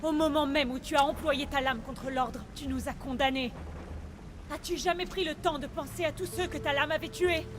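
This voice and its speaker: An actress playing a warrior's earnest young daughter in a video game, intense and wounded. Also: female voice, sits high, steady tone, normal speed, close to an everyday voice. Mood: reproachful, hurt. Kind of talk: dramatic video game acting, French dub.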